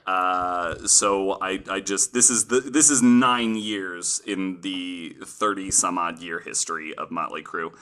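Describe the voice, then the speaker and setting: Guy hosting a podcast, lowish punchy voice, casual storytelling, informal and a bit sweary. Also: a little slow, few pauses, bright, forceful tone.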